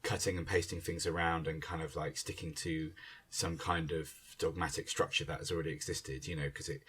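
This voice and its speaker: Theatre director, male. Husky bass voice, thinks aloud in circling phrases, discussing creative craft. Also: little pitch movement, a little fast, a bit bright, recorded from a distance. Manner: hesitant, circling, thoughtful phrasing. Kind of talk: reflective discussion of creative work.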